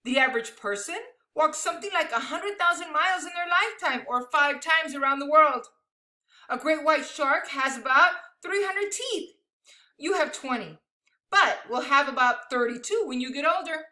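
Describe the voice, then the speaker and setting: A woman's voice: high-pitched, expressive, normal speed, sharp tone. A woman in her thirties reading a picture book aloud expressively to young children like a schoolteacher, with chatty asides spoken directly to the listeners. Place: living room at home, indoors. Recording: echoey home room.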